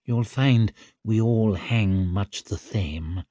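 A man's voice: lowish voice, lively intonation, slow, mellow, husky, no echo, exaggerated voice. A man voicing a dry, contemptuous, toothless old official in an audiobook, with a sardonic, disdainful manner.

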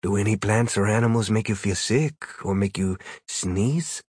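A man voicing a cool, probing female demon in an audiobook story, with a deep husky voice, a foreign accent and matter-of-fact questioning. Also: unhurried, a little mellow, dry recording, studio quality. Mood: clinical probing.

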